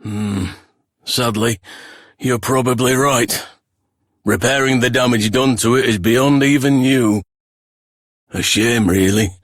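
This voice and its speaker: A man's voice: medium pitch, slow, pauses often, no room sound, clean studio sound. An actor playing a wistful, resigned man in a fantasy video game, subdued and reflective. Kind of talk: scripted video game voice acting.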